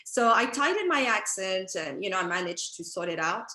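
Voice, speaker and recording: French-accented actress, echoey room. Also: female voice, mid-range voice, moderate pace. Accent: French with British traces. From France. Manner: animated, chatty, lots of fillers and quoted dialogue. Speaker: actress and interview guest. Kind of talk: casual interview storytelling. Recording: roomy echo.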